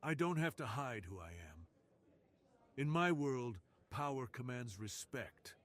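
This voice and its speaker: A man's voice: mid-range voice, animated, unhurried, fairly bright tone, pitched above the performer's own voice. A man voicing a suave, dominant crime boss in a seductive, self-assured ASMR roleplay. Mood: confident, flirtatious.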